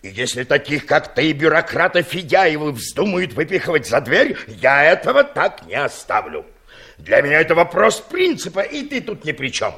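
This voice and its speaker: Feisty, high-pitched old man with creak. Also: big pitch swings, moderate pace, sharp tone, exaggerated voice. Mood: bossy, scheming. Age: older. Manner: emphatic, domineering, theatrical. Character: feisty, boastful, bossy old engineer of sixty-two. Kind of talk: Soviet audio play acting.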